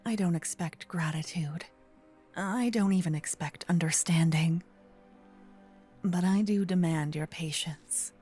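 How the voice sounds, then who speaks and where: A woman voicing a gentle, possessive old female vampire in an ASMR roleplay, murmuring and whispering close to the microphone. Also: lowish voice, faint music behind. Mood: teasing, intense. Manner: breathy, slow whispering and murmuring. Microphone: close binaural microphone.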